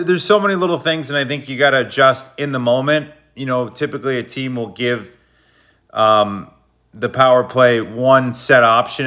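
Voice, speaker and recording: A man narrates hockey replays in a relaxed, slangy, coach-like way. His voice sits at an ordinary pitch but comes across bright and forceful. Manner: loose, slangy, coach-like explaining. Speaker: hockey video analyst. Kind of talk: casual play-by-play breakdown of game footage.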